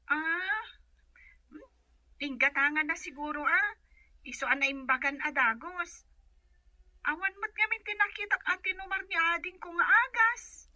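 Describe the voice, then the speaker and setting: A woman's voice: very high voice, measured pace, pauses often, sharp tone, exaggerated voice, poor audio. A woman voicing a young child in a radio drama, answering a parent in a hesitant, evasive, whiny way. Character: evasive young child covering for father on the phone. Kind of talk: Ilocano comedy radio drama acting.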